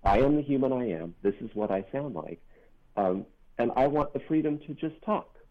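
A middle-aged non-binary author with a deep bass voice, speaking thoughtfully and earnestly in an interview, pausing on ums and restarting sentences. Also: lively intonation. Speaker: author guest. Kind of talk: reflective interview talk. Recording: narrow phone-line sound.

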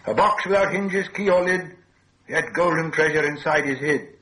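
A man playing a small, anxious but quick-witted male adventurer in a storybook, earnest and exclamatory. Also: a little high, bright, forceful tone, voice pushed up, rough recording. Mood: reciting riddles.

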